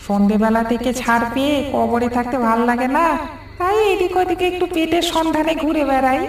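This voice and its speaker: An actor voicing the weary, regretful ghost of a village thief in a cartoon, talking slowly and plaintively. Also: high voice, fairly even intonation, natural voice. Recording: heavy echo, distant, music underneath.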